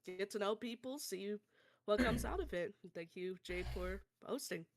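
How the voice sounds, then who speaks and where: A woman's voice: mid-range voice, normal speed, heavy background noise. A woman streamer giving a casual, informal introduction of herself. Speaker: streamer and aspiring voice actor.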